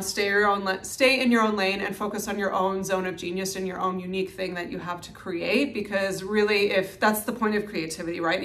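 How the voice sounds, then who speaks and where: Loud, animated woman vlogging. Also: mid-range voice. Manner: loud, animated, strong projection. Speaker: minimalist YouTuber. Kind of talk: casual talk to camera. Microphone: phone mic.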